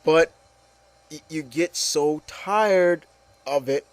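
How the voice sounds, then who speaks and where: A man's voice: a little high, slow, no echo. A man hosting a casual opinion talk, ranting emphatically and often repeating himself with okay and you know. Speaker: host. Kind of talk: casual opinion rant with co-hosts.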